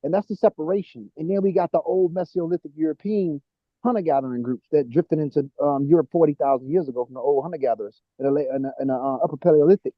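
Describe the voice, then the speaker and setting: A man's voice: a little high, fast talker, dry recording, lo-fi sound. A man co-hosting a casual podcast talk, eagerly working through genetic ancestry terms with many restarts.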